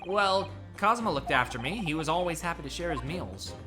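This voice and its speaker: A woman's voice: lowish voice, expressive, brisk, close to an everyday voice. A woman voicing a nervous young male lawyer who talks in a flustered, exclaiming way, over game music. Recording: game music playing underneath.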